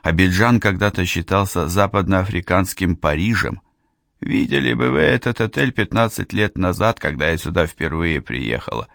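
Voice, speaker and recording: A man's voice: deep voice, animated, moderate pace, gravelly, fairly bright tone, no room sound, clean studio sound, full character voice. A man reading an audiobook voices a friendly middle-aged female hairdresser from Ghana, talking in a chatty, confiding way. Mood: hopeful. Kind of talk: audiobook character voice.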